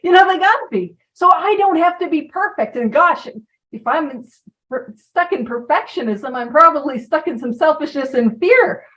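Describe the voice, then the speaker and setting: A woman's voice: high-pitched, animated, normal speed, fairly bright tone, recorded from a distance, muffled recording. A woman giving an informal talk about recovery, chatty and self-deprecating, who drifts from point to point. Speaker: recovery program speaker.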